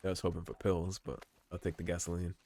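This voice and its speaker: Guy chatting through a game, bit hoarse, really low voice, laid back. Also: quick pace, slight background noise. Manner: relaxed, musing, reads in-game notes aloud. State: slightly hoarse. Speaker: game streamer. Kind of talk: let's play commentary.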